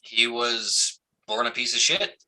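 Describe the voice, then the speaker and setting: A man's voice: mid-range voice, slow pace, bright, forceful tone. A man playing a defensive, foul-mouthed cop and army veteran in a live play reading, speaking bluntly and evasively. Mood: gruff, dismissive.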